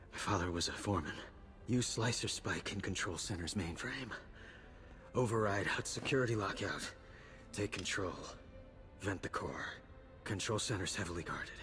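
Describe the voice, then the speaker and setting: An actor playing a mortally wounded, stoic soldier in a video game, weak and strained, speaking in clipped phrases. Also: male voice, low-pitched, sharp tone. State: weak, strained, breathy from injury. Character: mortally wounded, stoic male soldier, loyal to his homeworld. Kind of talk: video game cutscene acting.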